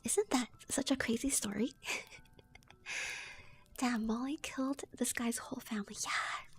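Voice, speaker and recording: A young woman streamer whispering a folk tale softly, giggling between asides to her chat. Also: fairly high pitch, fairly even intonation, slow, slightly rough. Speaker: streamer and storyteller. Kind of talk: ASMR story reading.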